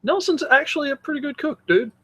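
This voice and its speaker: A man's voice: very high-pitched, animated, quick pace, a bit bright. A man with a mixed Australian and English accent, chatting casually and irreverently as a podcast co-host, with plenty of swearing. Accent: mix of Australian and English. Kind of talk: casual chat, banter.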